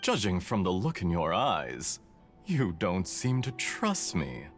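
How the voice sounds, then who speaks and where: An actor playing a suave, mocking villain in a video game, theatrically polite, smug and sly. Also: male voice, low voice, huge pitch swings, slow, heavily acted. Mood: smug, taunting. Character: suave, mocking male villain posing as mechanic. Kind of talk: dramatic video game voice acting. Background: background music.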